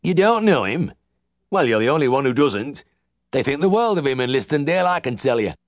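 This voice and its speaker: A man's voice: on the high side, big pitch swings, moderate pace, dry recording, studio quality, voice pushed up. Man doing a nasal old Yorkshire farmer. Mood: needling boastful. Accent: West Riding Yorkshire. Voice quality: nasal twang. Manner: smug, needling, full of praise for another. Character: boastful little old male farmer.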